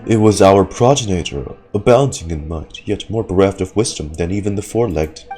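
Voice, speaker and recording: A man's voice: low voice, normal speed, warm tone, natural voice. A man voicing an ancient giant mushroom creature from a game, solemn and formal in archaic phrasing. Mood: solemn, stately. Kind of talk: reading game dialogue aloud. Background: game music.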